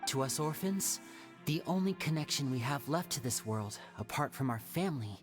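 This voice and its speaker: A man plays a loyal young gentleman with a solemn, measured delivery. His voice sits at a middling pitch, with clear music behind it, in anime game dialogue. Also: expressive, natural voice. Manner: measured, sincere, solemn explaining. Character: earnest, composed young man, loyal and polite. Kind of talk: anime video game voice acting.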